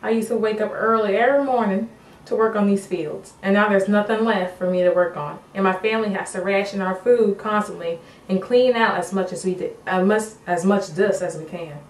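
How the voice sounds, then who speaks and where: A woman's voice: medium pitch, fairly even intonation, a little fast, natural voice. A woman playing a weary, despairing farmer in an amateur mock news interview. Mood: weary, hopeless. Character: despairing Dust Bowl farmer Billy, weary and worn down. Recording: echoey room, distant mic, background noise.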